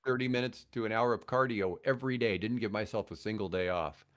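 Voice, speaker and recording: A man's voice: lowish voice, fast. An older man telling stories casually as a podcast guest, in a joking, rambling way. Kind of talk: casual chat, storytelling.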